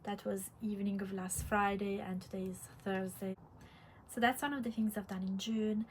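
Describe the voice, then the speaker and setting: A woman from Italy with an Italian accent chatting casually as host of a vlog, halting and reflective, often repeating words. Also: medium pitch, little pitch movement, a little mellow. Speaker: podcast host, crafter. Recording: clear background room noise.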